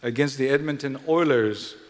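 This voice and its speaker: A man's voice: medium pitch, moderate pace. Hawaiian-accented man speaking in a reverberant hall. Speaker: event host and speaker. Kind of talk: live welcome speech with jokes and introductions. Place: large indoor sports venue, addressing guests. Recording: long hall reverb from venue PA.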